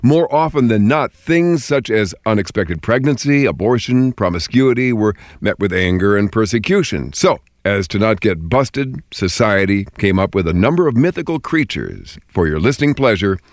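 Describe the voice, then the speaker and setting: A man's voice: on the low side, expressive, normal speed, few pauses, no room sound. A man hosting a show, reading scripted narration mixed with casual banter. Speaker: show host.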